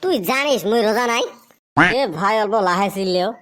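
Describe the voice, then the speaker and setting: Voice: mid-range voice, lively intonation, a little fast, fairly bright tone, natural voice. A performer voicing a cheeky, complaining village youth in a comic cartoon skit. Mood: bantering.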